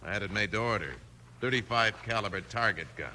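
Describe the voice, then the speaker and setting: An actor playing a cold, power-hungry outlaw boss in a 1950s radio western, commanding and menacing. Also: male voice, fairly low pitch, a little fast, close to an everyday voice. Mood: menacing, impatient. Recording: noisy 1950s radio recording.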